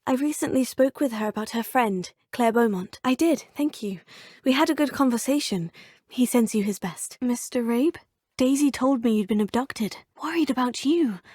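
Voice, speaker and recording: A woman's voice: fairly high pitch, normal speed, darkish tone, husky, no echo. A polite young British witch. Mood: curious, polite. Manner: polite, composed, slightly formal. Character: young female witch student, polite and curious. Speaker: player character in a video game. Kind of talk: game dialogue lines. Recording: dry studio recording.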